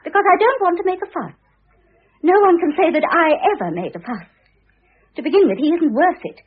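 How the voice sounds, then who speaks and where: An actress playing an indignant, petulant younger British society wife with haughty, affected airs in an old-fashioned radio drama. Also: female voice, extremely high pitch, sing-song, brisk. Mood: indignant, huffy. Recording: thin phone-like vintage radio sound.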